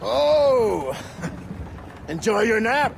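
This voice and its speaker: An actor playing a manic, gloating military villain in a video game, with a theatrical, sneering, cackling delivery. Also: male voice, very high-pitched, very animated, drawn-out words, creaky, background noise, exaggerated voice. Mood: gleeful mockery.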